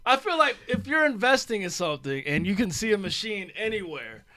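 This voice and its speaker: A man hosts a radio comedy chat in a studio, upbeat and laughing often. His voice sits high and swings widely in pitch. The sound is close and dry. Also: big pitch swings, a little fast, sharp tone, dry recording. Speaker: radio show host.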